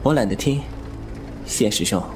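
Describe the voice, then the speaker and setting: A man's voice: medium pitch, expressive, moderate pace, lightly acted. An actor playing a clever, teasing young swordsman with wounded pride and a flippant, sardonic manner in a Chinese wuxia audio drama. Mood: sullen. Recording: music under the voice.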